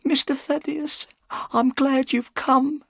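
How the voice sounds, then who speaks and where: A narrator voicing a frightened old housekeeper woman who pleads in a high, shaky, tearful voice. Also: fairly even intonation, takes it slowly, vocal fry, fairly bright tone, dry recording, clean studio sound, exaggerated voice.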